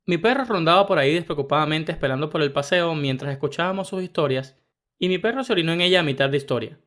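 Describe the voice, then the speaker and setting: A man narrating first-person internet stories in a brisk, even reading style. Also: fairly high pitch. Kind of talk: scripted story narration.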